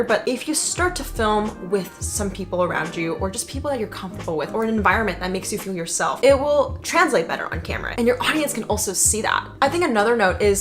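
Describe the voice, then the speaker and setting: A young woman giving a casual vlog tutorial to camera, chatty, slangy and emphatic. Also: medium pitch. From Indonesia. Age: young adult. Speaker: vlogger and content creator.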